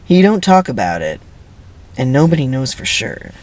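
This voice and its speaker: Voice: mid-range voice, sing-song, normal speed, darkish tone, natural voice. A person voicing a cocky fifteen-year-old boy camper in a dramatic reading, slangy and know-it-all.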